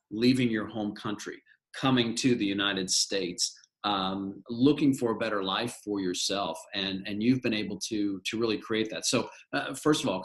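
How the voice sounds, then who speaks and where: A man's voice: on the low side, a bit bright. Enthusiastic middle-aged male moderator. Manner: enthusiastic, repeats words while searching. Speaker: panel moderator, alumnus. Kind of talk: moderating a live panel.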